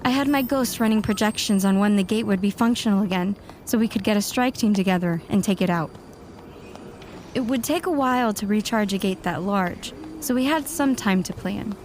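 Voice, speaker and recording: A woman's voice: mid-range voice, darkish tone, a little hiss or hum, close to an everyday voice. A woman acting a young, self-assured warlock student who narrates and speaks in a fan audio drama.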